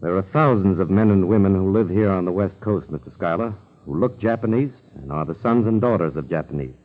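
A thin vintage broadcast carries an actor as a dignified university dean. His voice is low and his manner firm, in 1940s radio acting style. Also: brisk, narrow, tinny audio, lightly acted.

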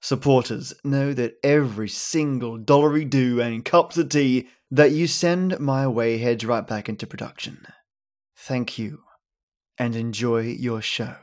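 A man's voice: medium pitch, steady tone, a little slow, darkish tone. A man hosting a podcast, reading a scripted introduction in a theatrical presenting manner. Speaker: podcast host.

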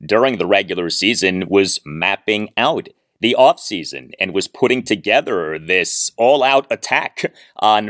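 A man hosting a sports podcast, offering conversational analysis in deliberate, emphatic, structured phrases. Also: on the low side, a bit bright, no room sound, clean studio sound.

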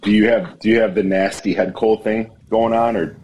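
A man's voice: on the low side, fairly even intonation, normal speed, a little mellow, a little hiss or hum. A man hosting a casual podcast with a head cold, teasing his guest and swearing freely. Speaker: podcast host.

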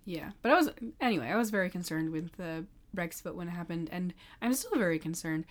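Chatty young American woman, podcast co-host. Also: fairly low pitch. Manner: quick, opinionated, with many "like" fillers. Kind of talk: casual chat.

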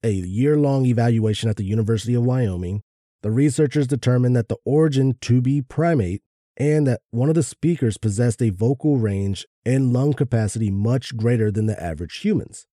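A man's voice: medium pitch, a little fast, mellow, no room sound, studio quality. A man co-hosting a casual chat and reading out research. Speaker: podcast co-host.

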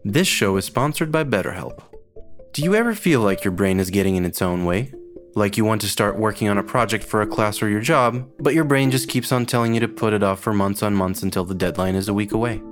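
A man's voice: fairly low pitch, fairly quick. A man reading a scripted podcast sponsor message, with music behind him. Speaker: podcast ad reader.

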